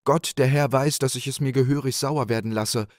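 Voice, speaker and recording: A man's voice: mid-range voice, fairly even intonation, brisk, no echo, studio quality, pitched above the performer's own voice, close to an everyday voice. A man voicing a scheming, boastful male innkeeper in a storybook tale, speaking in an agitated, pleading way.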